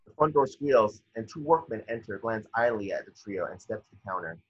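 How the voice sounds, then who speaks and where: A man's voice: fairly low pitch, animated, a little fast, husky, dry recording. A young man narrating scripted stage directions from a screenplay over a video call. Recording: dull, muffled video call audio.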